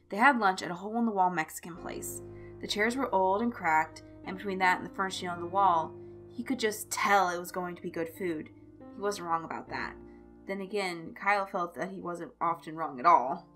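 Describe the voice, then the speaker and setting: A woman's voice: on the low side, a bit bright. Woman narrating a story over music. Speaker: fan fiction narrator. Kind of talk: expressive scripted story narration. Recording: music behind the voice. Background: instrumental music.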